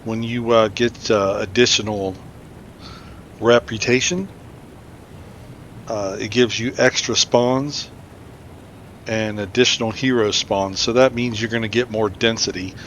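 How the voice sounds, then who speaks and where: A man's voice: fairly even intonation, a little slow, long pauses, fairly bright tone. A man giving casual playthrough commentary as the host of a gaming video. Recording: clear background game noise, rumbling effects.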